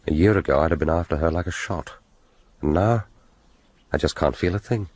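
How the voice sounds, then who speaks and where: A jaded male secret agent in a radio play. His English voice is very low and slightly rough. He speaks with weary, understated politeness, as if little excites him. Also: fairly quick, dry recording. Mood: listless, brooding. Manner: weary, dry, understated, deferential to superiors. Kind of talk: radio drama acting with inner monologue.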